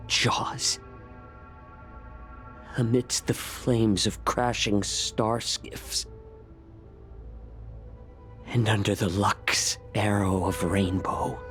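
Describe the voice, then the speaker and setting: An actor playing a fox-eared healer man in a game cutscene, speaking slowly with heavy bitterness and grief. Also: lowish voice, fairly bright tone. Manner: slow, heavy, grieving and bitter. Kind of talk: video game cutscene acting. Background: faint game score.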